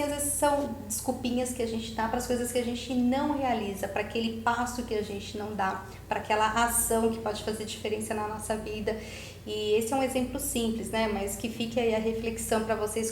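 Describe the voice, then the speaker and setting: A woman's voice: a little high, a little mellow. A Brazilian woman hosting a podcast in a casual, chatty way, often seeking agreement with short tag questions. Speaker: podcast host. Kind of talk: casual conversational chat. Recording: roomy echo, faint background noise.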